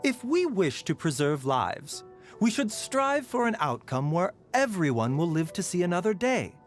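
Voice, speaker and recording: Gentle scholarly doctor, lilting delivery. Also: male voice, fairly high pitch. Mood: solemn, compassionate. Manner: measured, polite, softly sighing and chuckling. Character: gentle, scholarly male doctor and herbalist, kindly and composed. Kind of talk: scripted game character voice lines. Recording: music behind the voice.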